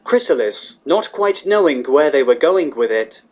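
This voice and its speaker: A woman reading fan fiction as the narrator, in an expressive scripted storytelling style. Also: low voice, normal speed. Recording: slightly dull, muffled audio.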